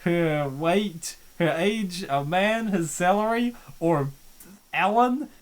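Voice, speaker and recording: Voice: medium pitch, slow. A co-host making wry, joking comments while playing through a visual novel. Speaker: playthrough co-host. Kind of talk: casual game commentary.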